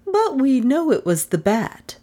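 A woman's voice: high voice, big pitch swings, a little slow, dark tone, voice pushed up, full character voice. A woman reader voicing a stubborn, proud elderly woman who speaks firmly and insistently. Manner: firm, insistent.

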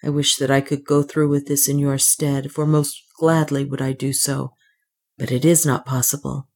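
A woman voicing a troubled, protective male adventurer in a storybook reading, speaking low and hoarsely. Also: level tone, normal speed, darkish tone.

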